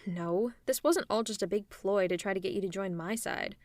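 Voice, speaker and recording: A woman's voice: medium pitch, normal speed, dry recording. A woman voicing an adult female supervillain in a one-sided ASMR roleplay, talking in a teasing, persuasive way to a silent listener. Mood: scheming.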